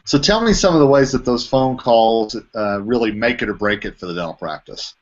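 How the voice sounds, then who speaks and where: A man's voice: medium pitch, expressive, a little fast. A man hosting an interview over an online video call, asking questions as the interviewer. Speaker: interviewer, trade publication editorial director. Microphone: video call microphone. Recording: dull lo-fi video call audio.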